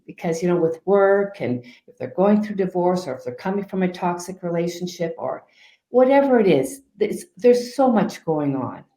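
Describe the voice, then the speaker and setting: A woman speaks in a low, mellow voice, calm and soft-spoken, often pausing on fillers. Her voice carries a noticeable echo from the room around her. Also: vocal fry. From Canada. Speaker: guest life coach. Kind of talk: interview answers.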